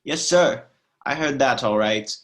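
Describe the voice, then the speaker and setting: A man's voice: mid-range voice, measured pace, fairly bright tone, close to an everyday voice. A man playing an earnest, folksy neighbor testifying in a radio play, plain-spoken and deferential. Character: earnest, folksy married male neighbor as witness. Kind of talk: radio play courtroom acting.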